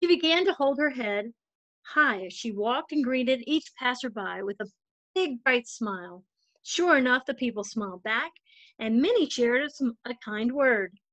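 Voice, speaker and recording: A woman's voice: on the high side, animated, measured pace, fairly bright tone, no room sound. A woman narrating a children's storybook as a volunteer storytime reader.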